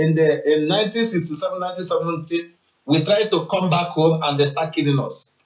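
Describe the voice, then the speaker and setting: A man calling in to a radio stream from Denmark, speaking with passion and often stopping to restart his words. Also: fairly high pitch. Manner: impassioned, halting with repeated restarts. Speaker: caller. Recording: echoey muffled lo-fi line.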